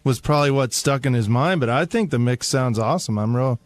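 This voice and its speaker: A man's voice: mid-range voice, brisk, dry recording. A man talking casually as a guest in an informal radio chat. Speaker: show staff member and drummer being interviewed.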